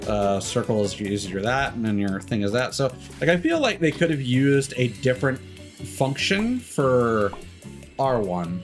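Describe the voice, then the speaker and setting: A man's voice: mid-range voice, big pitch swings, unhurried. Rambling male game streamer over music. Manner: rambling, thinking aloud. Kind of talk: casual live gameplay commentary.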